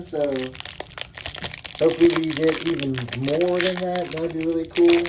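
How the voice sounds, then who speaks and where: A man casually chatting while opening trading card packs, relaxed and chuckling as he calls out cards. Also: noisy background. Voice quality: says he has a very deep voice. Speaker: channel co-host. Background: faint crinkling of card packs.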